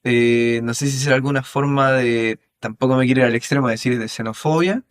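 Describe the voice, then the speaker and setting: A man with a Chilean accent hosting a relaxed podcast conversation, thinking aloud and hedging his points. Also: mid-range voice, a little fast, clean tone. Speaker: podcast host. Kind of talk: casual conversational interview.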